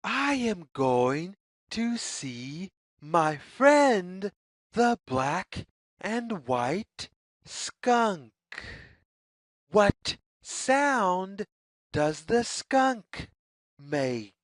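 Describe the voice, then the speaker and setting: Very slow, expressive woman reading to children. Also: mid-range voice, dry recording. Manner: deliberate, measured phrasing with sing-song repetition. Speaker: children's read-aloud narrator, teacher. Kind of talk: slow, expressive picture-book read-aloud for young children.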